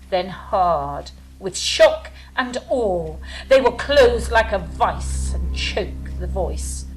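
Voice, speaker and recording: A woman's voice: mid-range voice, rough recording. A woman playing a seductive, menacing villainess, delivering grandiose monologue in an audio drama. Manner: grandiose, deliberate.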